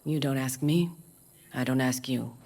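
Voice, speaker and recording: Cryptic calm fixer lady, video game, formal measured talk, slight background noise. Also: a bass voice. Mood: knowing. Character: cryptic, self-possessed female nomad tech fixer.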